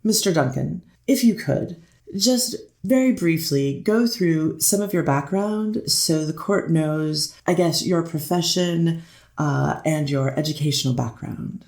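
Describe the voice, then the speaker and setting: A performer playing a hesitant, deferential defense lawyer who pleads to a judge in halting, repetitive phrases, in a courtroom readers theater piece. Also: mid-range voice, vocal fry, darkish tone. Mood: polite. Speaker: defense attorney. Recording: roomy, echoing voice recording.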